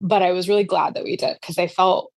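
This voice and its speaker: Podcast guest, woman, lowish voice, dry recording, casual chat. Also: little pitch movement, a little fast, no room sound.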